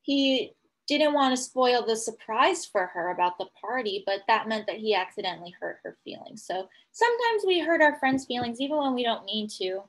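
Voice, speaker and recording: A woman teacher reading a picture book aloud to young children, pausing to explain things simply and ask questions. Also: a little high, low-quality recording. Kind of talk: children's read-aloud with commentary.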